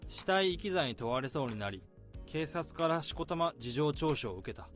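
A man plays an overworked office worker who narrates and solves a case in a radio drama. He speaks in a reflective, measured way, and his voice rises and falls with feeling. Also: on the high side, animated, faint music behind, lightly acted.

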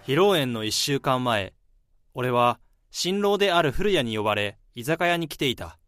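A man's voice: mid-range voice, very animated, normal speed, fairly bright tone, clear, resonant tone, no echo, faint music behind. A man acting in a radio drama as an easygoing married friend of a groom, around thirty, casual and bantering. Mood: wistful narration. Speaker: lead actor and narrator. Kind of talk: radio drama acting with first-person narration. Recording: busy crowd noise behind the voice.

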